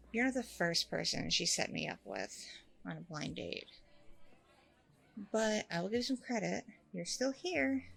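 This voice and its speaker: A woman playing a chatty, candid, self-deprecating single mom on a blind date in a casual audio roleplay, rambling informally with a creaky voice. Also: lowish voice, a bit bright, heavily acted. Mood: hesitant, confiding. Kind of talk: ASMR audio roleplay, casual conversational acting.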